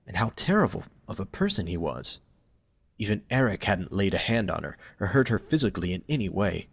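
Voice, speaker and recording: A man narrates a scripted story for an audio drama. His voice is on the low side, and the sound is dry with very little room echo. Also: a bit bright, husky.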